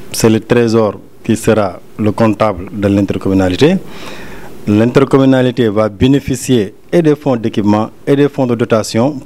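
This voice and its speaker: A man's voice: medium pitch, darkish tone. A man with a Senegalese French accent giving a formal, explanatory statement as an agency director in a meeting room. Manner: explanatory, official phrasing.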